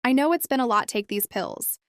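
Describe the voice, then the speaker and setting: A woman's voice: a little high, animated, fairly quick, no room sound, clean studio sound. A woman playing a soothing therapist talking to a patient in an amateur horror drama. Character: soothing female therapist prescribing pills.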